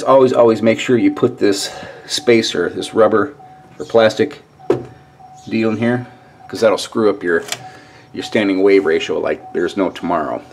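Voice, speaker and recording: Low-voiced folksy man, noisy recording. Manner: folksy, colloquial. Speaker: DIY vlogger. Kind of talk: casual hands-on walkthrough narration. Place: in and around a pickup truck at a garage. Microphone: handheld camera mic. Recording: noisy handheld camcorder audio.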